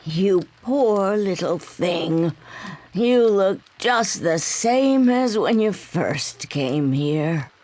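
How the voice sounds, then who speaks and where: A woman voicing a weary older woman who keeps a bar, cooing in a slow drawl. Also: medium pitch, lively intonation, darkish tone. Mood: doting.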